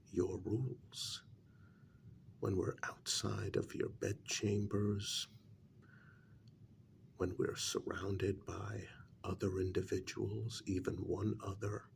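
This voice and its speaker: A man playing a calm, blunt human familiar to a vampire queen in a soft, half-whispered ASMR role-play, speaking formally and deliberately. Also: on the low side, gravelly, slight background noise, exaggerated voice.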